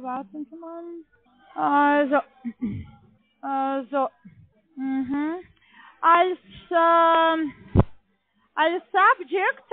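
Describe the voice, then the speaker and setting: Really high voiced woman on a tinny phone line, slow and hesitant, call center type. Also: no echo. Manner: hesitant, searching for words, non-native grammar. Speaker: call centre caller presenting herself as coordinator. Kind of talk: halting phone sales conversation.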